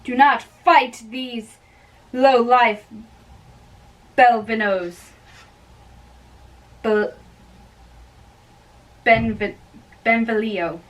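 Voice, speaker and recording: A woman giving an amateur dramatic reading of a play, performing all the parts in a theatrical, halting way. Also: fairly high pitch, fairly even intonation, dull audio. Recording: distant microphone.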